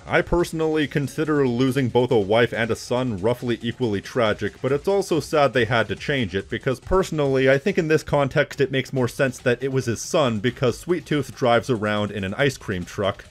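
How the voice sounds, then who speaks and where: A man hosting a video essay on games, giving casual scripted commentary in a wry, conversational manner. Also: medium pitch.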